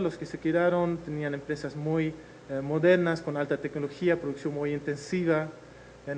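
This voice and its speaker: A man's voice: on the high side, a little mellow, a little hiss or hum, rough recording. Dutch man with Chilean-tinged Spanish accent. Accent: mix of Chilean Spanish and Dutch. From Netherlands. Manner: frequent hesitations with "eh". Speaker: speaker presenting a case study. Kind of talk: presentation lecture.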